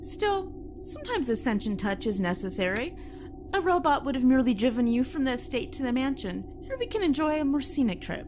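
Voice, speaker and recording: A woman playing a wealthy, haughty plantation owner in an audio drama, formal and measured with quiet menace. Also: high voice, sing-song, noisy background.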